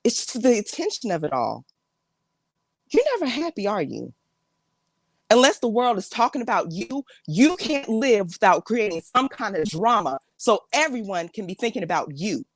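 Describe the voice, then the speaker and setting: Fed-up woman confronting a relative, played by an actress, melodramatic and accusing, highly animated pitch, dry recording. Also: moderate pace, no room sound. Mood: bitter, accusing. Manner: accusing, exasperated. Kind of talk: melodramatic audition monologue.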